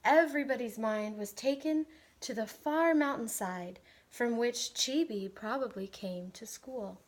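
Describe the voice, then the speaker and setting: An American woman, a teacher, reading a picture book aloud with deliberate, expressive phrasing meant for children. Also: on the high side. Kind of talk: read-aloud storytelling.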